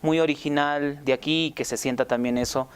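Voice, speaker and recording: A man's voice: fairly high pitch, steady tone, brisk. A man casually recounting production work, informal and circling back over his words. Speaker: radio drama sound production team member. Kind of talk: casual recollection to camera.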